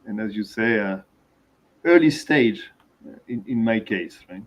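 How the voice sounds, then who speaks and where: A man from Venezuela with a Venezuelan Spanish accent, introducing himself as an investor on a panel, measured and hesitant. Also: on the low side, animated.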